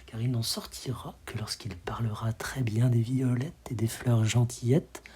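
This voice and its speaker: A man with a breathy, husky voice reciting poetry expressively, in measured dramatic phrases that often sink to a hush. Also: medium pitch, fairly even intonation, a little hiss or hum. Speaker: poetry reader.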